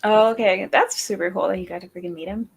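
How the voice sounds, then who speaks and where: A woman's voice: medium pitch, steady tone, fast, gravelly, background noise. A woman guesting on a whiskey livestream, chatting casually in a giggly, playful way. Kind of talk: casual chat.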